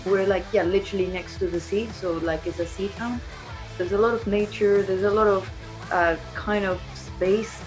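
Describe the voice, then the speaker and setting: A woman's voice: mid-range voice, steady tone, moderate pace, soft background music, poor audio. A woman chatting informally as an interviewed band member, in a loose, conversational way. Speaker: band singer and songwriter being interviewed. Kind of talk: casual chat.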